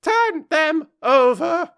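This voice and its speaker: A storyteller voicing a furious, imperious queen in a shrill, loud voice, severe and impatient, barking out commands. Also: big pitch swings, extremely slow, dry recording, clean studio sound, heavily acted. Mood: screaming fury.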